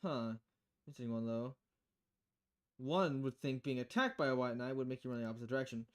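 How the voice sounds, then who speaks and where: A woman voicing a calm female bartender in a video game, with a dry, deadpan, unhurried delivery. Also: very deep voice, voice pushed down. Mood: dry, reflective.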